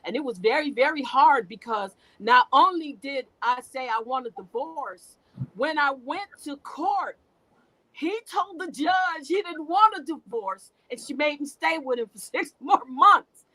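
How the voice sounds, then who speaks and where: A middle-aged woman candidly telling her life story as an interview guest, halting and restarting, often laughing. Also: high voice, lively intonation, pushed and bright, poor audio.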